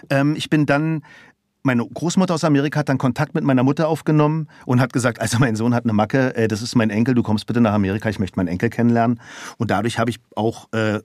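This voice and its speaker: Relaxed middle-aged German man telling his story. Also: mid-range voice, dry recording, clean studio sound. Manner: open, relaxed storytelling with frequent fillers. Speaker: podcast guest, voice actor. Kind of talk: casual interview chat.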